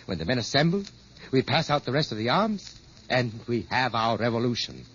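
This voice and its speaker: An actor playing a stern, wary revolutionary in an old radio drama, speaking formally and guardedly. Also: male voice, mid-range voice, sing-song, a little fast, a bit bright, slightly rough. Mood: intent, confident. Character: stern, wary male revolutionary, a scientist's son. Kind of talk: 1950s radio drama acting. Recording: muffled old radio recording.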